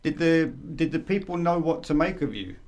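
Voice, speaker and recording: A man's voice: a little high, animated, moderate pace, darkish tone. A man interviewing a guest, asking short probing questions and laughing easily. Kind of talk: casual interview.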